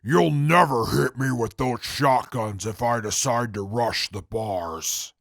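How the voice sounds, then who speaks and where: A man playing a menacing man-eating mutant prisoner in an audio drama, with a gravelly voice and a threatening manner. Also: medium pitch, slow, a bit bright, no room sound, studio quality, full character voice. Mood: menacing, taunting. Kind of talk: post-apocalyptic audio drama acting.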